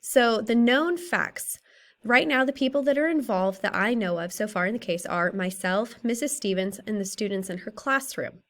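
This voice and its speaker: Woman, slightly raspy, thirties, steady methodical class presentation, mid pitch. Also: moderate pace. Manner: steady, methodical, works through a list of questions. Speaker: graduate student presenting a case study. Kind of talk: reading a prepared class presentation.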